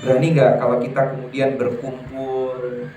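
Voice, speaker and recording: A man's voice: mid-range voice, normal speed, distant mic, a little hiss or hum. Man, preacher, sermon, emphatic, echoey hall, faint ambience. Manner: lively, rising to emphatic peaks, asks the congregation questions. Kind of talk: sermon to a congregation.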